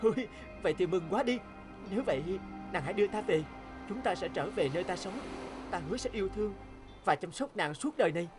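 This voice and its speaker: An earnest young castaway fisherman in a cartoon fairy tale. His voice sits on the high side and he exclaims expressively, easily amazed. Music plays clearly behind him. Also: animated, fast. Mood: happy, devoted.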